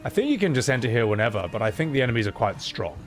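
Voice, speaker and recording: A man's voice: medium pitch, big pitch swings, very fast. A man streaming a video game with casual, blokey, sweary chat. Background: game music.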